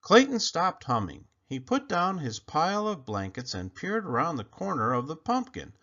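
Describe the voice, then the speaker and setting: A man reading a children's storybook aloud in an expressive, emphatic storytelling manner. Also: fairly high pitch, normal speed, highs cut off. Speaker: storybook reader.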